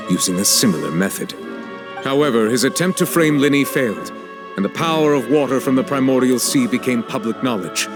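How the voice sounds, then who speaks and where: Casting: man playing stern presiding judge, formal and measured, mid-range voice, prominent music underneath. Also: mid-range voice, natural voice. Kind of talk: anime game voice acting. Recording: loud music behind.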